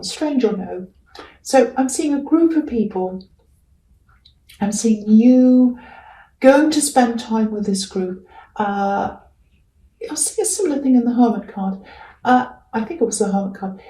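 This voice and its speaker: A woman's voice: on the high side, mellow. A woman giving a casual, improvised tarot reading, hesitant and reassuring, with a slightly crackly voice. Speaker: tarot reader. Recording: roomy echo, distant from mic.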